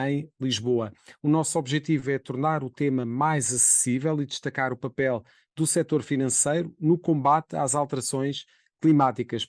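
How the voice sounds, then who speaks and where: A man with a European Portuguese accent hosting a podcast as a journalist, reading a formal introduction and posing measured interview questions. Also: mid-range voice, darkish tone, dry recording, studio quality.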